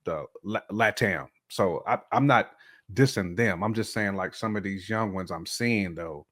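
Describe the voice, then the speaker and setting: A man in his forties with a fairly low voice talks casually as a show co-host. He gives his opinions in colloquial language, often doubling back on his words as he works out a point. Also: a little slow, a bit bright. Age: 40s.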